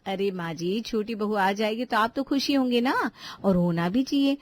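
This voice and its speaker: A woman's voice: medium pitch, normal speed, no echo, natural voice. A woman voicing an outspoken young daughter-in-law in a cartoon family drama, needling others with mock innocence and sarcasm. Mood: sweetly sarcastic. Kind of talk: animated family drama voice acting in Hindi.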